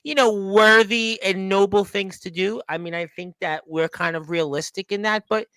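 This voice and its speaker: A man's voice: high-pitched, fairly even intonation, normal speed, pushed and bright, clear, resonant tone, no room sound. A man hosting a radio interview, asking long, rambling questions that circle back on themselves. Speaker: radio show host.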